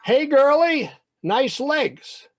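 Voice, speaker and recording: A man voicing a jeering schoolyard bully boy who yells taunts in a storytelling performance. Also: very high-pitched, big pitch swings, drawn-out words, soft background music, voice pushed up, exaggerated voice. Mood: taunting. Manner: yelling taunts.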